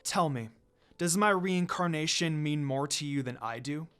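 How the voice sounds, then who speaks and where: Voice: medium pitch, normal speed, a bit bright, smooth, natural voice. A reader voicing a jealous, menacing undead priestess in an amateur story reading. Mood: sad, jealous.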